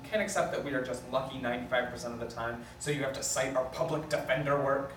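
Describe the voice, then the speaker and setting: A man's voice: medium pitch, fast talker, close to an everyday voice. A man playing a blunt, teasing, easygoing lawyer in a comedic script read. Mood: bluntly mocking. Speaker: actor at a script table read. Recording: echoey room, distant mic, noisy.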